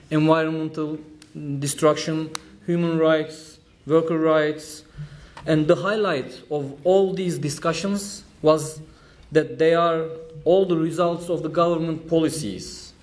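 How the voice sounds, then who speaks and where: A man from Turkey with a Turkish accent, giving a speech at an event, telling a story with light humor and many pauses. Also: fairly high pitch, level tone. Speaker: trade union official giving a talk.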